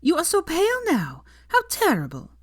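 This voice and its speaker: A woman's voice: very high voice, huge pitch swings, normal speed, no echo, clean studio sound, voice pushed up, exaggerated voice. A woman voicing a bold, effusive young woman, a protective friend with an emphatic, firm manner, in a story reading. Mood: effusive, dismayed.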